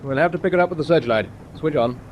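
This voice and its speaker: An actor with a British accent playing a bold, decisive destroyer captain in a war radio drama, giving clipped orders. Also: male voice, fairly high pitch, fast talker, highs cut off, close to an everyday voice. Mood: decisive, commanding. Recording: faint background noise.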